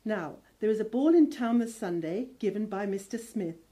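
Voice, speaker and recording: A woman's voice: medium pitch, animated, moderate pace, dark tone, close to an everyday voice. A woman playing a kindly, fussing older spinster housekeeper in a radio play. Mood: concerned, insistent. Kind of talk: audio drama acting.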